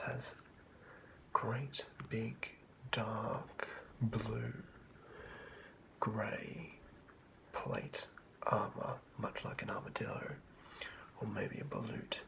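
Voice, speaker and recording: Fantasy ASMR bard played by a performer: hushed whisper, gravelly fry, very slow, ominous adventurer's storytelling. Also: medium pitch. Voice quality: breathy whisper. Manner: slow, theatrical, old-fashioned tale-telling.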